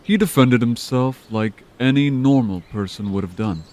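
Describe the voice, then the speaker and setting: A man voicing a calm, manipulative villain leader who taunts in an unhurried, smooth way in an audio drama. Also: medium pitch, lightly acted. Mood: taunting. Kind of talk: amateur audio drama acting.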